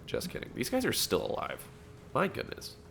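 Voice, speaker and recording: A man commentating a strategy game match, sounding nasal from allergies, reacting excitedly to the action. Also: fairly high pitch, gravelly, noisy background. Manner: excitable, fast reactions and laughs. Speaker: gameplay commentator.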